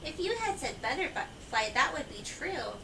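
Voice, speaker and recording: Prim yellow butterfly read by a woman, storybook tone, high, far from the mic in noise. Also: big pitch swings, a little slow, creaky, exaggerated voice. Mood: prim correcting. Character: fussy, correcting yellow butterfly. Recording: echoey, noisy room, far from mic.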